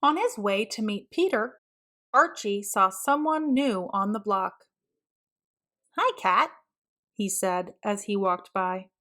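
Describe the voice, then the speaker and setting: A woman reading a picture book aloud for children as narrator, in an expressive, deliberate storytelling manner. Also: medium pitch.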